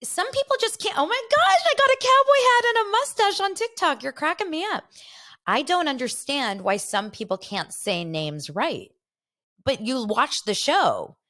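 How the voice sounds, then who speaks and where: A woman's voice: high-pitched, very animated, normal speed, pushed and bright. A young woman from Los Angeles hosting a podcast, chatting animatedly and gossiping while reading out viewer comments. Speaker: podcast host. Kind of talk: casual chat.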